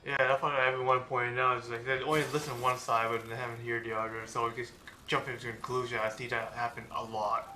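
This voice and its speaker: A man commentating casually on his game stream in a very bright, forward voice. He talks haltingly, doubling back on words, with faint game music behind him. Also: mid-range voice, level tone, poor audio. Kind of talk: casual live playthrough commentary.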